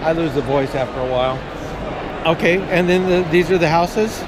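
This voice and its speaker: A man's voice: on the high side, normal speed. A man doing a casual on-the-spot interview, chatty, with joking asides. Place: indoor trade show hall. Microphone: action camera microphone. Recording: noisy trade show floor, camera mic. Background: busy show floor noise.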